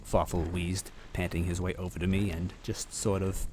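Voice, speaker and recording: A man's voice: low-pitched, little pitch movement, normal speed, background noise. A man narrating a story aloud in a dramatic first-person style.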